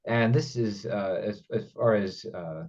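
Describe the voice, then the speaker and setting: Male interview host, lowish pitch, halting conversational delivery, slightly muffled lo-fi audio. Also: a little mellow. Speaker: interview host, independent filmmaker.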